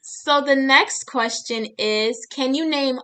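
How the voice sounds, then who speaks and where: A woman's voice: high-pitched, slow pace, sharp tone. A woman co-hosting a live event, reading out quiz questions to the people watching.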